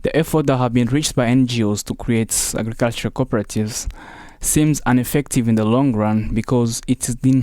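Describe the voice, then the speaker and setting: A man's voice: medium pitch, a little mellow, no echo. A man from the Democratic Republic of the Congo, a Swahili speaker, earnestly describing his project as a student guest. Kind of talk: interview answers.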